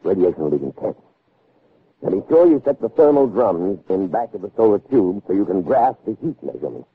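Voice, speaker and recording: Casting: insistent scientist father, middle-aged, very lively intonation, raspy edge, vintage radio play on a narrow band. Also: low-pitched, a little fast, full character voice. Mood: assured, persuasive. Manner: emphatic, authoritative lecturing. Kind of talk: 1960s radio drama acting. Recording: narrow, phone-like old radio recording.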